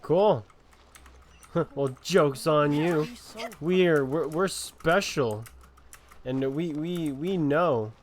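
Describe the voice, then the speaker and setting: A man giving casual gameplay commentary as a streamer, halting and often repeating his words. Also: on the high side. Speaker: game streamer.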